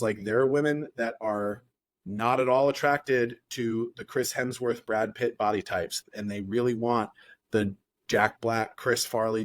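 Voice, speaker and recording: A man's voice: mid-range voice, dry recording. A man hosting a podcast, giving dating advice in a rambling, profane, tangent-filled way. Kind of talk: casual podcast chat.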